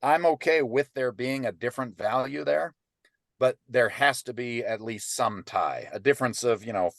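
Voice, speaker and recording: A man's voice: mid-range voice, moderate pace, vocal fry, a bit bright, dry recording, studio quality. A man presenting an online webinar as a ranch business advisor, explaining in an informal way and checking in with listeners. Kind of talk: teaching webinar, conversational explanation. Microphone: computer microphone on an online webinar call.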